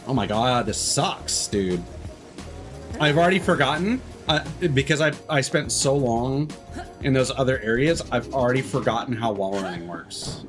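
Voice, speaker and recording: A man's voice: medium pitch, lively intonation, moderate pace. A man doing casual live game commentary, rambling candidly and swearing offhandedly. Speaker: game streamer. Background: game music.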